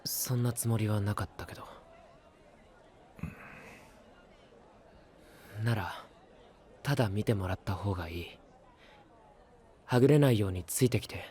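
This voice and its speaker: A male actor plays an earnest young guide in an anime audio drama. His voice is low and calm. He explains things politely and methodically, almost like a manual. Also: natural voice. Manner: measured, explanatory, like reading a manual. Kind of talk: Japanese anime voice drama acting.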